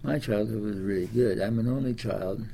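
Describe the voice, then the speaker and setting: A very old man from Iowa, ninety years of age, recalls his life in a gravelly, mellow voice. He rambles along with fillers and restarts. Faint room ambience sits behind him. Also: fairly low pitch, normal speed, a little hiss or hum, low-quality recording. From Des Moines, Iowa. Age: 90s. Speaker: interviewee. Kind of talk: oral history interview.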